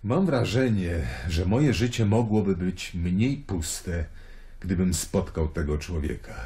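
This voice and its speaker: A man's voice: low-pitched, slow, dark tone. An actor playing a world-weary, searching Roman nobleman in a radio play. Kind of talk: dramatic radio play acting.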